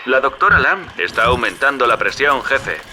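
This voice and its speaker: Greedy schemer, eager and coaxing, noisy game audio. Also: male voice, mid-range voice, big pitch swings, normal speed, bright, forceful tone. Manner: eager, coaxing, calls the listener son and boss. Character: greedy male schemer dreaming of riches.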